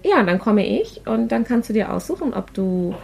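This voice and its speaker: A woman's voice: fairly high pitch, moderate pace, vocal fry, husky. A woman, a podcast guest chatting casually and telling stories with frequent laughter. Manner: chatty, laughs often, lively storytelling. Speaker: podcast guest, actress and party planner.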